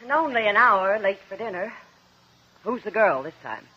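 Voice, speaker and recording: An actress playing a bitter, weary wife in a 1950s radio drama, biting and sarcastic. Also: female voice, mid-range voice, lively intonation, normal speed, slightly rough. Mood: bitter, exasperated. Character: bitter, weary wife at the end of her rope. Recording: dull, muffled 1950s radio recording.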